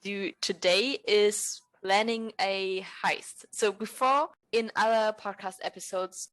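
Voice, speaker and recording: Brief: woman host, unhurried pace, calm guiding manner, mid pitch. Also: low-quality recording. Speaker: podcast host. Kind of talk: hosting a podcast.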